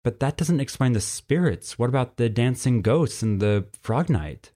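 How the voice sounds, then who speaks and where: A man's voice: mid-range voice, expressive, normal speed, dark tone, close to an everyday voice. A man voicing a skeptical, cheeky young winged boy in a storybook, blunt and inquisitive in a casual way. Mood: probing. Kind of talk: storybook character voice.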